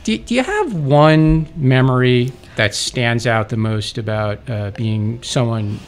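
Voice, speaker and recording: A man hosting a radio interview, relaxed and halting, often repeating words as he asks questions. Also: medium pitch. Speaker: radio host. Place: radio studio.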